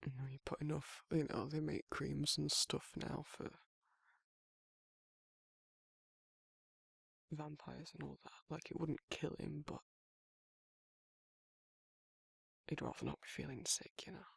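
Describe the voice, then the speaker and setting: A man playing a shy, protective werewolf in a romantic ASMR roleplay, speaking haltingly and reassuringly in a gravelly, husky voice. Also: on the high side, steady tone, dry recording, heavily acted. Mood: reassuring, teasing. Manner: halting, awkward, softly reassuring. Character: shy, protective, tender young male werewolf in love. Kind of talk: romantic ASMR audio roleplay, intimate and conversational.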